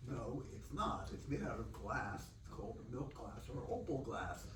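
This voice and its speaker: A man's voice: medium pitch, big pitch swings, moderate pace. A man making informal, unscripted remarks from the audience at a book event, explaining things in a pedantic, digressive way. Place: indoor event room. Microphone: distant room microphone. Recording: echoey, noisy room from far off.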